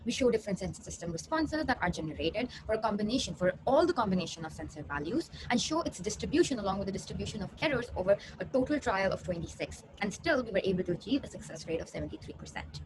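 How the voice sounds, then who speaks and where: A woman's voice: mid-range voice, very animated, fast, hardly any pauses. A young woman, a PhD student, giving a rehearsed research presentation. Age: young adult. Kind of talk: rehearsed conference talk. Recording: clearly noisy recording.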